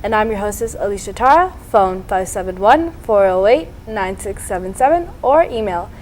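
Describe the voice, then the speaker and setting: A woman's voice: mid-range voice, lively intonation, moderate pace, darkish tone, a little hiss or hum, low-quality recording. Upbeat woman hosting a TV show. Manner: upbeat, complimentary. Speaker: TV show host. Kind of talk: interviewer on local TV.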